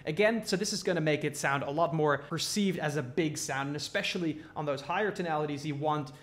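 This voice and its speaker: A man's voice: a little high, fairly quick, fairly bright tone. A man talking casually and informally as he explains a music production tutorial step by step. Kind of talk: casual hands-on tutorial talk.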